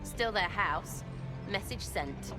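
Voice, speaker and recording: A woman's voice: mid-range voice, lively intonation, slow. A woman playing a cheeky, blunt, mischievous young troublemaker in a video game. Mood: mocking glee. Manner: blunt, mocking. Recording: very loud music over the voice.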